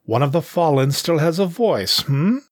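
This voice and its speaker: A man's voice: medium pitch, lively intonation, normal speed, breathy edge, no room sound, studio quality, voice pushed up. Man voicing a mocking, menacing male panther gang leader. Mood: sickly sweet menace. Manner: mocking, theatrical, falsely gentle.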